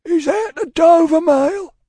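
A man voicing a hoarse, blunt messenger on horseback in a storybook, calling out. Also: very high voice, lively intonation, slow, dry recording, clean studio sound, voice pushed up, exaggerated voice. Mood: calling, insistent. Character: hoarse mounted male messenger, calling through mist.

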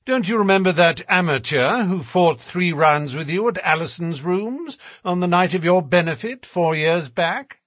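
Guy doing a confident genial detective for a storybook, bright voice, pitched up a bit. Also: expressive, normal speed, few pauses, no room sound, studio quality. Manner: genial, assured. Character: genial, confident gentleman detective, quick-witted.

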